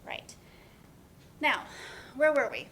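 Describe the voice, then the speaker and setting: A woman's voice: high-pitched, very animated, slow pace, fairly bright tone, slight background noise, exaggerated voice. A woman playing an earnest young professional in a dramatic reading of a stage play, speaking conversationally with small hesitations. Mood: apologetic. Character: Kat, earnest, apologetic young professional woman, teasing friend.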